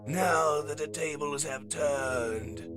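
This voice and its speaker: A man voicing a vengeful, tyrannical robot warlord, gravelly, domineering and theatrical, in a comic reading. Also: fairly high pitch, takes it slowly, music in the background, pitched above the performer's own voice. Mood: mocking, domineering.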